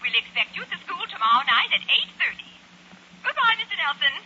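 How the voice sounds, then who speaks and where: An actress playing an earnest, high-minded lady librarian, effusive, genteel and firm, in 1940s radio acting style. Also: sing-song, fast talker, bright, forceful tone, heavily acted. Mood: insistent. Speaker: supporting actress in a radio sitcom. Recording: muffled, noisy 1940s radio recording.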